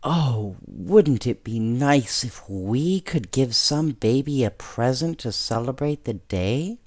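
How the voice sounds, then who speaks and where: A man's voice: medium pitch, expressive, slow pace. Storybook role: eager young knitting pupil, a sweet wondering girl done by a male reader, with swinging intonation. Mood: eager, hopeful. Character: eager, kind-hearted big girls in knitting class.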